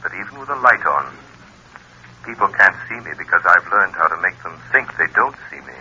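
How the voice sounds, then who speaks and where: A very low, husky and intensely forceful voice as a mysterious unseen crime fighter. He speaks in measured, reassuring but commanding tones, in vintage radio drama style. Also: big pitch swings, muffled recording, heavily acted. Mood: calm, reassuring. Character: invisible mysterious avenger, calm, commanding, protective man. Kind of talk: 1930s radio drama acting.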